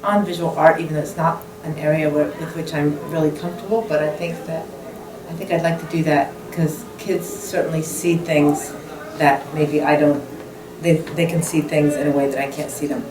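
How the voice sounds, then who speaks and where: A female French teacher speaks to parents thoughtfully, pausing and restarting her sentences. Her voice is lowish and comes from far off in an echoey room with audible hiss. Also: little pitch movement. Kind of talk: addressing parents at a school open evening. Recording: echoey classroom from far off, background noise.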